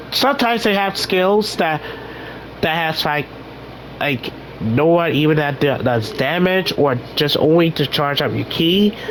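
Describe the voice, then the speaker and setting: A young man hosting a casual gaming vlog, rambling with many restarts while his voice recovers from being hoarse. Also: sits high, a bit bright, a little hiss or hum, rough recording. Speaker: gaming channel host.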